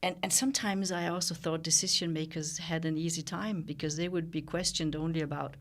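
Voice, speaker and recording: Older Danish woman with a low-pitched voice, reflective interview guest, pauses and restarts. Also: steady tone. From Denmark. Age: 60s. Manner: thoughtful, with frequent pauses and restarts. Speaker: guest, journalist and founder.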